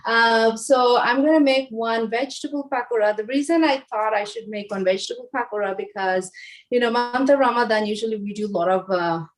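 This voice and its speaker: A woman in her forties from Bangladesh, explaining home recipes in a casual, halting way with lots of fillers and restarts. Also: fairly high pitch. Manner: hesitant, many fillers and restarts. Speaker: home cook guest. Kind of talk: casual cooking demonstration.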